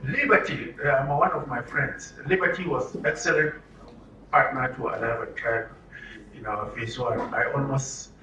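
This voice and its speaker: A man's voice: mid-range voice, measured pace, sharp tone. A man leading a workshop as trainer, speaking in an interactive lecture style with emphatic, rhetorical questioning. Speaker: trainer addressing media participants at an orientation. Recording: roomy echo, distant mic, faint noise.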